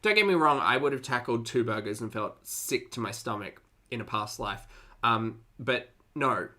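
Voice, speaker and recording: Bright-voiced, sardonic man, slightly stuffed-up. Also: medium pitch, moderate pace. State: congested, short of breath. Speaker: host of a reaction stream. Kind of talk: casual live commentary, chatting with viewers.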